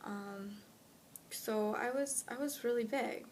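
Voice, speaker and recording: A woman's voice: a little high, takes it slowly. A woman of twenty-one with an American accent, chatting casually to followers as a fitness vlogger. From United States, lived in Honduras when younger. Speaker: fitness blogger introducing self to followers. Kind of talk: casual vlog chat answering questions. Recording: clear steady background noise.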